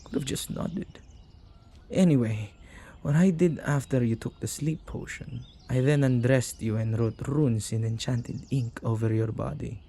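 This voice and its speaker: A husky, low-lit male witch acted by a man talks intimately to one listener in an ASMR roleplay. His gravelly voice is dark and mellow, with hesitant pauses and teasing asides. He comes across as a caring, excitable keeper of spirits. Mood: casual, teasing. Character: possessive male witch who befriends ghosts, excitable and caring.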